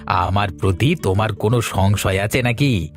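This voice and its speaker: A man voicing an eager, easily spooked village husband in a cartoon, chatty and expressive. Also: fairly low pitch, moderate pace, soft background music, natural voice. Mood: cheerful, eager. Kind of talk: Bengali cartoon dialogue acting.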